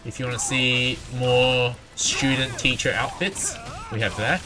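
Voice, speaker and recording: A man's voice: mid-range voice, level tone, unhurried, rough recording. A man doing casual, playful live video game commentary, talking to himself and his viewers. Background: video game music.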